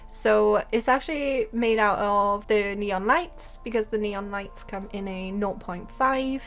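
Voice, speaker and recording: A young Welsh woman with a Welsh accent, chatting excitedly about a game update and then calmly explaining her building steps. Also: mid-range voice. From Wales. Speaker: game content creator. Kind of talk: casual gameplay commentary.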